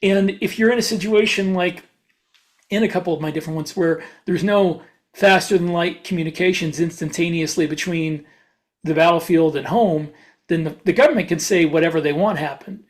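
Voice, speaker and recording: A man's voice: on the high side, fairly quick. A man who hosts a podcast and writes science fiction, talking casually and restarting his explanations as he goes.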